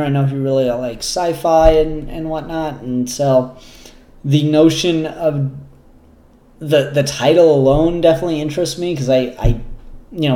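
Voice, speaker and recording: A man hosting a casual, unscripted review show, talking in a halting way with pauses mid-sentence and casual swearing. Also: a little high, mellow. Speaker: comic book reviewer and show host. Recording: roomy, echoey room sound.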